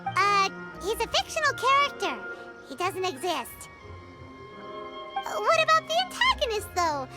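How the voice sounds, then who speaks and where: A woman voicing a tiny, chirpy, childlike fairy companion in a video game, excitable and opinionated. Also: extremely high pitch, a little slow, pauses often, full character voice. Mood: curious, hesitant. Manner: speaks of herself in the third person, animated. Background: game music.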